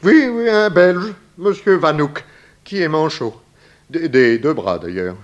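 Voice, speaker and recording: An actor playing an effusive, tearful, gossipy lodger in a 1960s French radio play, with florid, hesitant, theatrical speech. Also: male voice, a little high, expressive, measured pace, highs cut off. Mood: eager.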